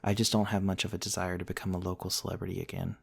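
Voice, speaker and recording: A man playing a gentle, courtly, centuries-old vampire prince in a soft, intimate ASMR roleplay, tender and hesitant with old-fashioned politeness. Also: deep voice, flat delivery, rapid-fire, creaky, slightly rough, heavily acted. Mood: modest, lightly amused.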